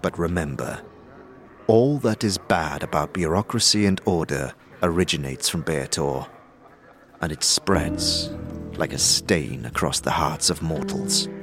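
A man's voice: rumbling low, unhurried, a bit bright, full character voice. A man playing a world-weary, grave traveler in a fantasy audio drama, speaking formally and ominously. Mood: grave warning. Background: prominent background music.

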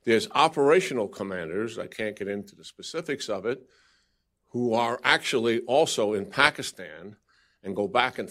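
A man speaking as an official at a press briefing. His voice sits fairly low, and he answers in a measured way, often restarting his sentences. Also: fairly bright tone.

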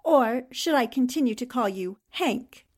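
A sly countess and spy played by a woman in a wartime radio melodrama. She is poised and coy, with a fairly high voice and polished social manners. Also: expressive, unhurried, darkish tone. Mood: playful, self-assured. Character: poised, sly countess, Nazi impostor of a newspaperwoman. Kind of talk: 1940s radio drama acting.

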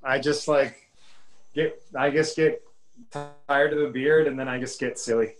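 Casual man, medium-pitched. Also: fairly even intonation, moderate pace, faint music behind, rough recording. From Canada. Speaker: podcast producer. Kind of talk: casual chat.

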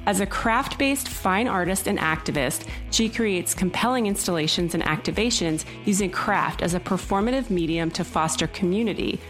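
A woman's voice: mid-range voice, moderate pace, few pauses, fairly bright tone, faint music behind. A woman podcast co-host reading a scripted guest introduction.

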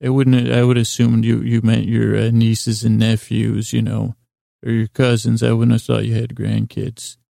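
A man hosting a bedtime podcast in a rambling monologue, halting and often interrupting himself as he wanders off on tangents. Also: mid-range voice, completely flat delivery, vocal fry, dark tone, dry recording, studio quality. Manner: halting, digressive, mumbly self-interruptions. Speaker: podcast host.

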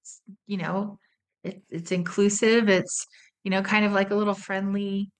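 A woman's voice: mid-range voice, little pitch movement, normal speed, clean tone. A woman guesting on a podcast, chatting casually in a breezy, unabashedly sweary way. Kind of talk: casual chat.

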